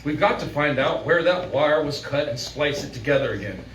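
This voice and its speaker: A man's voice: medium pitch, expressive, normal speed, a bit bright, lightly acted. A man playing an earnest, commanding doctor in a live old-time radio drama, speaking with urgent emphasis. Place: live venue performance, picked up from a distance. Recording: distant, echoey room with faint noise.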